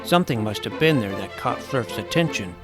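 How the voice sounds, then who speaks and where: A man's voice: mid-range voice, lively intonation, fairly quick, close to an everyday voice. A man playing an eager boy adventurer who tells his fantasy story in a florid, wry way, with acted dialogue. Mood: wry, wistful. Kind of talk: scripted first-person story narration with acted dialogue. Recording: music under the voice. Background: music.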